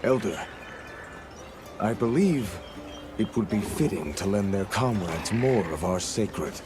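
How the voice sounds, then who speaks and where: A man's voice: on the low side, lively intonation, measured pace, over music. A man playing a respectful villager in a video game, formal and deferential in his speech. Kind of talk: video game cutscene acting.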